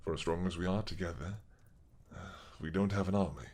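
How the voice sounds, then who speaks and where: Doting old dragon king, played by a man; low and husky, possessive, gently teasing. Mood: tender. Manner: slow, tender, teasing. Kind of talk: audio roleplay acting.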